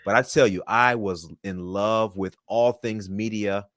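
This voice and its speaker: Low-voiced man, polished guest. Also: steady tone, unhurried, a bit bright, no room sound, studio quality. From Florida, USA. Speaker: podcast guest. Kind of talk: conversational interview answers.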